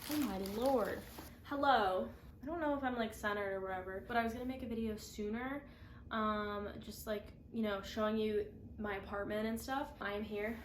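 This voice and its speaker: A woman's voice: medium pitch, normal speed, a little hiss or hum. A woman in her twenties, congested from the flu, chatting casually in a rambling vlog style full of "like"s, in her apartment. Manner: rambling, many "like"s and restarts. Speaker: vlogger. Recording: echoey room with faint ambience.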